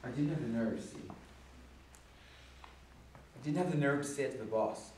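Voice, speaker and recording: An actor playing an agitated, bitter working-class bookkeeper in a rambling, slangy, halting theatrical stage monologue. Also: male voice, mid-range voice, a little mellow, natural voice. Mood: subdued, regretful. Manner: rambling, halting, slangy, swinging from muttering to outbursts. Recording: echoey distant stage recording, faint noise.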